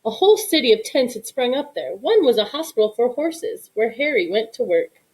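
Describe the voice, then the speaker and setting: Expressive woman reading a storybook, very animated. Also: a little high, normal speed, rough recording. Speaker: storybook reader. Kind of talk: expressive read-aloud narration of a children's story.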